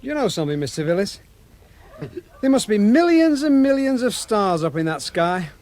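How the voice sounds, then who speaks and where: Callous villain, high lilting voice. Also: male voice, big pitch swings, a little mellow. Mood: mock sympathy, menace. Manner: casual, mock-sympathetic, unhurried. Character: callous, falsely solicitous villain, chatty and mocking. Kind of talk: radio drama acting.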